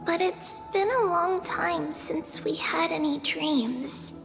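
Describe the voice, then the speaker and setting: Very high-voiced, wistful little girl. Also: takes it slowly, creaky, heavily acted. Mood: wistful, resigned. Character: small girl, gentle, resigned beyond her years. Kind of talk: video game voice acting. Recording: long reverb, light music behind.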